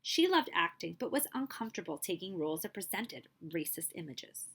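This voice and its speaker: Librarian lady reading a kids' picture book out loud, kinda low voice, steady pace, little side comments here and there. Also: fairly quick, husky. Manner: steady storybook reading with brief asides. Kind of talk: picture book read-aloud.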